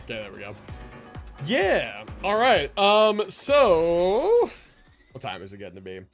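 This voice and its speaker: A man's voice: high voice, wildly swooping pitch, a little slow. A man hosting a game livestream with casual, slangy, enthusiastic commentary and the odd swear. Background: video game music and character voices.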